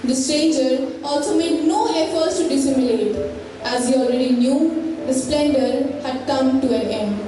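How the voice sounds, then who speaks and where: Female play narrator: high voice, scripted delivery, distant sound in a noisy, reverberant hall. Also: normal speed. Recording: echoey hall, distant, clear noise.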